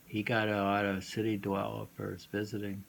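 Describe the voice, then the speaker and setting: A man reading poetry aloud with casual commentary, halting and often repeating words and phrases. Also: lowish voice, completely flat delivery, gravelly. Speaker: reader and host. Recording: noisy homemade recording.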